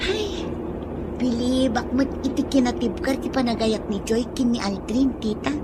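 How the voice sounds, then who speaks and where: A woman's voice: on the high side, normal speed, close to an everyday voice. A woman playing a chatty young niece in a drama, with an Ilocano Filipino accent. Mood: admiring, chatty. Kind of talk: Ilocano audio drama acting. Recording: clear vehicle noise behind the voice.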